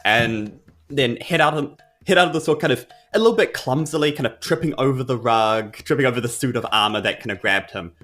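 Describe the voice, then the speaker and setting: A man's voice: mid-range voice, brisk, fairly bright tone, soft background music. A man with a New Zealand accent narrating his character's moves in a tabletop game, in casual, hesitant phrasing. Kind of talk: casual improvised roleplay narration.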